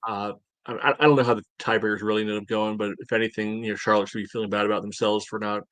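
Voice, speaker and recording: A man co-hosting a sports podcast, talking casually in a halting, conversational way. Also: on the low side, level tone, fairly bright tone, clean tone, no echo. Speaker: podcast co-host.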